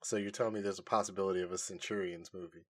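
A man's voice: deep voice, steady tone, very fast. A man co-hosting a podcast in casual nerd chat, reacting and joking along with his partner. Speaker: podcast co-host.

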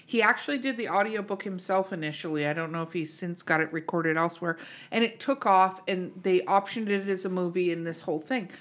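A woman's voice: lowish voice, a little fast, fairly bright tone. A woman hosting a podcast in casual chat, irreverent and swearing freely. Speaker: podcast host.

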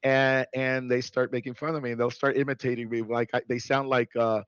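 A man born in New York City, a guest in a casual interview who talks in an animated way, laughs often and restarts his sentences. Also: medium pitch, fairly quick, smooth, no echo, dull audio.

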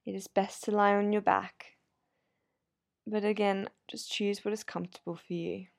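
A woman guiding a sleep meditation in a soothing, unhurried, gently instructive manner. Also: medium pitch, little pitch movement, creaky, no room sound, clean studio sound. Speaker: yoga nidra meditation guide. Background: soft sound healing instrumental music.

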